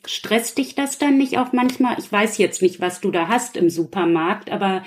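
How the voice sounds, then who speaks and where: A woman speaks in a casual discussion at a medium pitch. She is informal and questioning, often letting sentences trail off into little tags. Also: lively intonation, normal speed. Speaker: thriller author on a panel.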